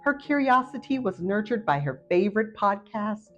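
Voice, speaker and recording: A woman narrating a children's picture book aloud in an expressive storytelling style. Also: mid-range voice, normal speed. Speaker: storybook reader. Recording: muffled audio with faint music behind.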